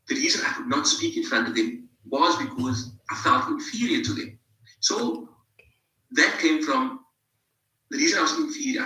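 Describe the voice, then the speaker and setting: A man's voice: medium pitch, pushed and bright, roomy sound, distant mic, poor audio. A man in his thirties with a South African accent, telling his own story as a podcast guest, reflective and restarting his phrases. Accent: South African English. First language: English, bilingual with Afrikaans. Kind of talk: personal storytelling in an interview.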